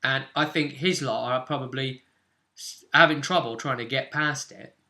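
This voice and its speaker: A British man running a tabletop game talks through the session in a casual, storytelling way. His voice is bright and forceful, with an ordinary male pitch. He explains in fragments, building up the scene as he goes. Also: normal speed. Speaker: game master and host. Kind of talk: casual recap of a tabletop role-play session.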